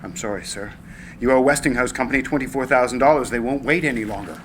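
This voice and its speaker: A man's voice: mid-range voice, fast, noisy background, lightly acted. An actor playing a deferential male employee who speaks hesitantly and apologetically in a script reading. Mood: apologetic. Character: deferential, regretful male employee bearing bad news. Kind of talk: live screenplay reading.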